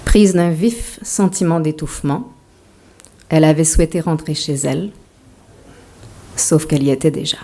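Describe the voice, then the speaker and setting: A woman's voice: low-pitched, moderate pace, pauses often, dark tone. A woman author giving a talk to an audience, mixing a prepared lecture with playful, self-mocking asides.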